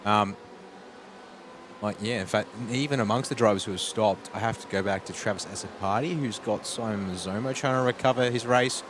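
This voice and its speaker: Low-voiced Australian co-commentator. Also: male voice, brisk. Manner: chatty analysis with many fillers. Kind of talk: live sim racing commentary. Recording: loud game car noise behind.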